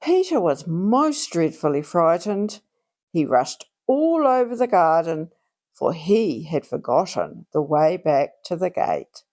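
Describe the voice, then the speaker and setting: A woman storyteller with a fairly low voice and very lively intonation. She reads for young children as a channel host, expressive and deliberate, taking her time over each phrase. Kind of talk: read-aloud children's storytelling.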